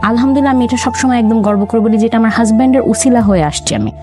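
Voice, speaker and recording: A woman's voice: a little high, animated, very fast. A young woman from Chittagong telling her life story in an interview, earnest and grateful, often using religious phrases. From Chittagong, Bangladesh. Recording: background music under speech.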